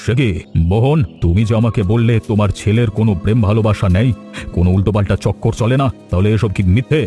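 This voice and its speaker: Stern, mellow-voiced wealthy merchant father played by a man. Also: on the low side, quick pace, faint music behind, natural voice. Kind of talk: Bengali cartoon story acting.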